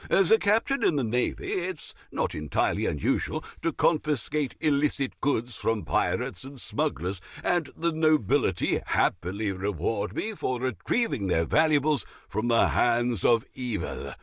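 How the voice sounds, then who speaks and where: A man's voice: medium pitch, sing-song, normal speed, hardly any pauses, fairly bright tone, dry recording, studio quality. A man playing a middle-aged, pompous, scheming naval captain and shady fence in a video game, theatrical and unctuous as he savors his words. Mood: smug, playful. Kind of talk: video game character dialogue.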